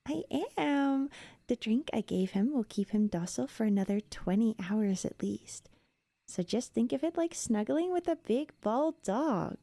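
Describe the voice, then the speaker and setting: A woman playing an eager, curious young elf researcher in an ASMR roleplay, soft-spoken and often dropping into whispers. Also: on the high side, lively intonation, measured pace, creaky, dry recording. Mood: cosy, reassuring.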